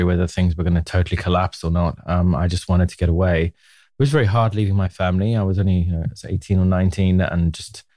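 A man's voice: deep voice, dark tone, dry recording. A man in his forties from Zimbabwe, a podcast guest telling his life story in a candid, reflective way and laughing easily. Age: 40s. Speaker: podcast guest, media co-founder. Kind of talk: relaxed interview storytelling.

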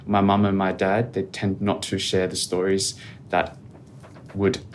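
A man speaking as a podcast guest in a calm, reflective, measured way. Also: low voice, slight background noise. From Australia. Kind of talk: casual interview conversation.

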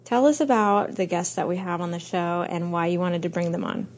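A woman's voice: lowish voice, fairly quick, a little hiss or hum. A woman hosting a podcast, chatting in an upbeat, enthusiastic way with frequent fillers. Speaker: podcast host. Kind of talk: casual podcast chat.

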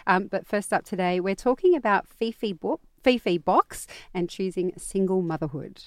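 A woman's voice: mid-range voice, moderate pace, dry recording, studio quality. A woman in her forties with an Australian accent, hosting a parenting panel chat. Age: 40s. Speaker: podcast host.

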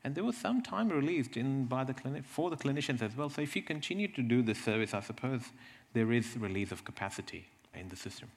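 A man giving a slide presentation, explaining things in a halting way with repeated words and asides. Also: medium pitch, expressive, creaky. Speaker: presenter from a health service.

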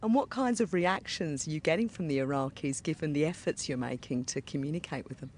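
An interviewer asking measured, leading questions outdoors at a military complex. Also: darkish tone. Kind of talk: field interview. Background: faint low rumble and distant gunfire.

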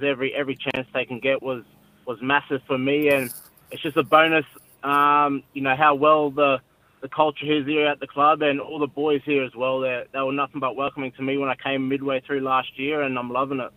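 A young man from Brisbane with an Australian accent, a rugby league player chatting casually as a guest on a radio show, relaxed and modest with lots of fillers. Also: mid-range voice, fairly quick, dry recording, rough recording.

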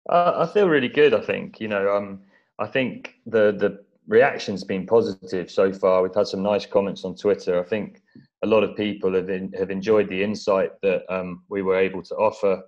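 Low-voiced, modest English man, slightly muffled sound. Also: fairly even intonation, moderate pace, a little mellow. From East Anglia, England. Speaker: interview guest, documentary film-maker. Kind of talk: conversational interview answers. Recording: dull, lo-fi audio.

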